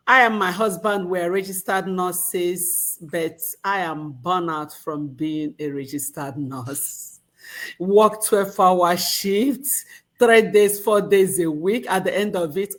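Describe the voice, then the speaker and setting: Woman, low voice, very slow, weary and measured, video call. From Nigeria. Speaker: registered nurse and business owner. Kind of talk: self-introduction.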